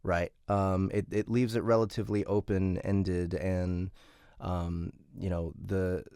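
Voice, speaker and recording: A man hosting an interview and directing the audiobook, speaking haltingly with frequent ums. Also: low-pitched, flat delivery, mellow, smooth, no room sound, studio quality. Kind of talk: casual interview chat.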